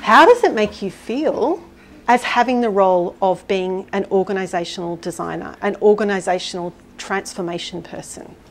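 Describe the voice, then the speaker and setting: A woman giving a conference talk and answering questions, speaking loudly and energetically in a chatty way. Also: mid-range voice, moderate pace, a little hiss or hum. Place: event hall with audience.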